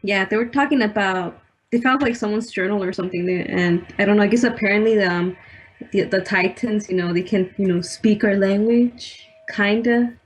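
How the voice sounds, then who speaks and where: A woman's voice: medium pitch, normal speed, low-quality recording. Hesitant young woman, faint background hum. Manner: hesitant, many ums and likes. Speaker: podcast co-host. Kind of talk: casual chat.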